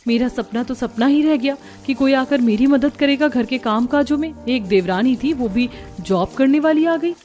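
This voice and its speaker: A dutiful, overworked housewife from a cartoon family story, voiced by a woman. She is meek and polite, with a high, plaintive way of speaking. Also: normal speed, darkish tone, faint music behind, natural voice.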